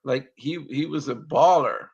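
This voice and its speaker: A man co-hosting a casual baseball talk show, halting and repeating words as he talks. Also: mid-range voice, creaky, breathy edge, lo-fi sound. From Mexican roots. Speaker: baseball podcast co-host. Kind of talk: casual sports chat.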